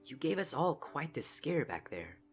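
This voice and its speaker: A man voicing a gentle, regal old mare princess, calm and regretful, in a story reading. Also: on the high side, normal speed, pitched above the performer's own voice. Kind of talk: character voice in fan fiction reading.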